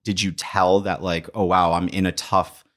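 A man's voice: deep voice, little pitch movement, normal speed, a bit bright. A man hosting a podcast interview, asking curious, rambling questions full of fillers. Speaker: podcast host.

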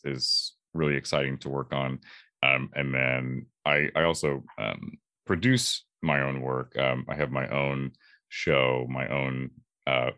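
Very deep-voiced young man chatting. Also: a bit bright, no room sound, clean studio sound. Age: young adult. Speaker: guest voice actor. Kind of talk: casual interview chat.

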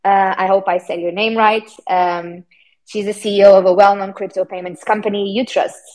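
A woman's voice: mid-range voice, level tone, moderate pace, darkish tone, poor audio. A woman filling in as host of an informal community session, friendly and slightly hesitant, with many ums. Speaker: stand-in host, marketing head. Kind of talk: informal live session hosting.

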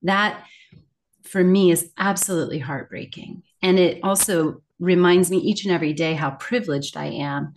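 A woman's voice: on the low side, moderate pace. A middle-aged woman speaking reflectively as an interview guest, earnest, articulate and emphatic in her delivery. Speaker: guest, communication coach and author.

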